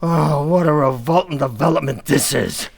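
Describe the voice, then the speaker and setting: A man's voice: a little high, normal speed, vocal fry, husky. A man playing a middle-aged, blustering working-class family man in old-time radio comedy style, with folksy ungrammatical speech and comic stammers. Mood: dawning dismay.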